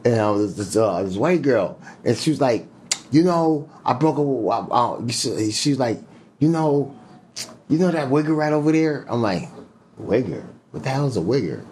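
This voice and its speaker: A man's voice: mid-range voice, sing-song, normal speed, a little mellow, a little hiss or hum. A man talking in an interview as a guest, emphatic and profane, full of fillers and slang. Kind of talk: casual interview talk.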